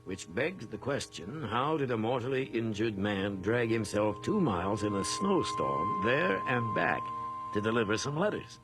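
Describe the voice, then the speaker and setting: Guy playing judge in radio play, composed, asks pointed questions, lively pitch. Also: music in the background, natural voice, rough recording. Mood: probing. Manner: deliberate, questioning. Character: probing, composed male judge. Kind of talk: radio drama acting.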